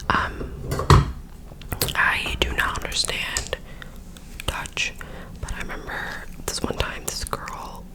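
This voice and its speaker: A woman's voice: takes it slowly, pauses often. Rambling whispering young woman. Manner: rambling, self-correcting, filler-heavy storytelling. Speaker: ASMR creator. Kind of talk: whispered ASMR chat while doing makeup.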